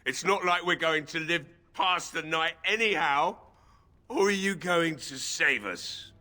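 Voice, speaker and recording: A man's voice: a little high, a little slow, sharp tone. A man acting a grieving, drunken, bitter village blacksmith in a fantasy video game, with a weary, sardonic drawl. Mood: despairing, bitter. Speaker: voice actor in a fantasy role-playing game.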